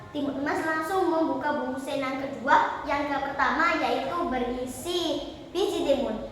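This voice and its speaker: Very high-pitched female storyteller, echoey hall. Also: pushed and bright. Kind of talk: memorised dramatic folktale storytelling. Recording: echoey hall, distant mic, faint noise.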